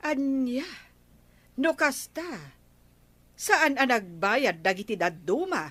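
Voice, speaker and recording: A woman with an Ilocano accent playing a brisk village woman who questions everyone, in an Ilocano radio comedy drama. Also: fairly high pitch, animated, fairly bright tone, slightly rough, no room sound, heavily acted.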